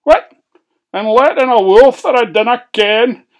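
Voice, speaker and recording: A reader with a Scottish accent voicing an excitable young male piglet in a storybook, with a sing-song, rhyming way of talking. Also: mid-range voice, takes it slowly, creaky, breathy edge, pitched above the performer's own voice, full character voice. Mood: defiant.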